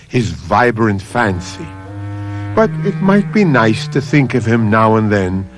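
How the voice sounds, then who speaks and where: A man hosting a radio mystery show with theatrical, deliberate phrasing and dramatic pauses. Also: mid-range voice. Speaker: radio mystery host and producer.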